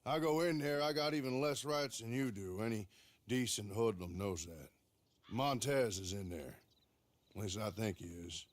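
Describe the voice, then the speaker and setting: An actor playing a wry, easygoing American Old West marshal in a video game cutscene, with a folksy, sardonic way of talking. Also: male voice, fairly low pitch, moderate pace, no echo, lightly acted. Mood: folksy, reasoning. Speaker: lawman.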